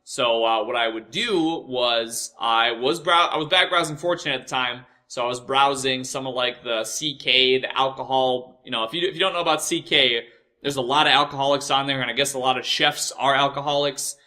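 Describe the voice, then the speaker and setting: Bright-voiced American man rambling casually. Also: medium pitch. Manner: profane, repetitive, halting and self-correcting. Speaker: host of a personal storytelling show. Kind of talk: casual rambling personal storytelling.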